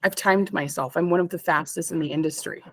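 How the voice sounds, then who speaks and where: A woman's voice: fairly low pitch, steady tone, a little fast, dry recording. Chatty woman, dry close mic. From Canada. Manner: chatty, rambling, laughs often, lots of "like". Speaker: interview guest, stone fabricator. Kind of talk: casual chat.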